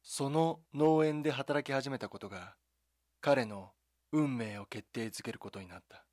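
A man delivering scripted dramatic documentary narration in a measured, solemn storytelling manner, with short deliberate phrases. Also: mid-range voice, darkish tone, no echo, studio quality. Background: background music.

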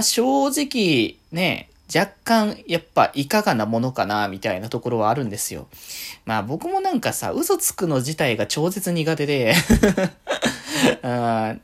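Low-voiced woman host, lively intonation. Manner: often giggles while talking. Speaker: solo talk show host. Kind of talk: casual rambling solo chat to listeners.

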